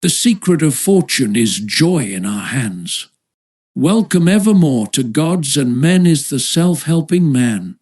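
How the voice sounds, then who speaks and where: A man narrates a classic essay in audiobook style. His voice sits a little higher than average for a man. The delivery is formal and measured, shaped like careful literary reading. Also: sing-song, a little mellow.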